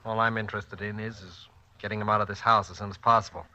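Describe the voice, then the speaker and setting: Low-voiced, intense husband, old radio drama. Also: male voice, flat delivery, fast talker, pushed and bright, dull audio. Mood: wary, firm. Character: hot-tempered, protective husband and father narrating his ordeal. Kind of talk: 1940s radio suspense acting. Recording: old 1940s radio recording.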